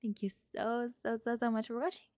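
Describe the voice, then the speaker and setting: Female ASMR channel host, higher voice, scripted sign-off, shout-out reading, dry close sound. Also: level tone, a little fast, no echo.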